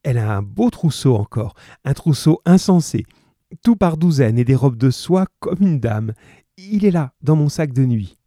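A man's voice: mid-range voice, sing-song, normal speed, mellow, no echo, clean studio sound, pitched above the performer's own voice. A man voicing an anxious, pleading poor young mother, hesitant and earnest, in an audiobook. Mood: indignant, proud.

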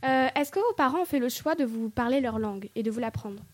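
A woman with a fairly high voice interviews guests on a casual radio segment. She asks gentle, hesitant questions and often pauses on small fillers. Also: lively intonation.

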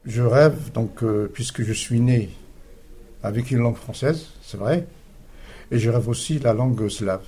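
Husky, mellow-voiced man. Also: mid-range voice, a little slow. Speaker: school technical staff member. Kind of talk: casual interview answers. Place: recorded at a secondary school.

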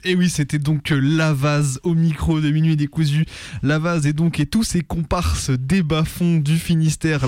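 Guy co-hosting radio, smooth clear voice, a bit high, super casual and keen, dry studio sound. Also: darkish tone, dry recording. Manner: enthusiastic, colloquial. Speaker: radio co-host.

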